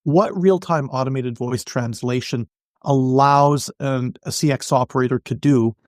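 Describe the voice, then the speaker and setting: A man giving explanatory interview answers as an expert podcast guest, articulate, measured and businesslike. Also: medium pitch, no echo, studio quality. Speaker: podcast guest, industry analyst.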